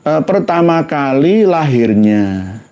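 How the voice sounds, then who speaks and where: A man from Indonesia with a Javanese accent giving an informal religious talk in a halting, conversational way. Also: on the high side, sing-song, dark tone. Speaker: religious commentator.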